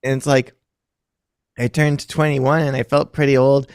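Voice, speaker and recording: A man's voice: mid-range voice, little pitch movement, moderate pace, clean tone, dry recording, clean studio sound. A man in his thirties, a musician chatting casually in a rambling, self-deprecating way and laughing often. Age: 30s. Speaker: musician guest. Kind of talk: casual chat.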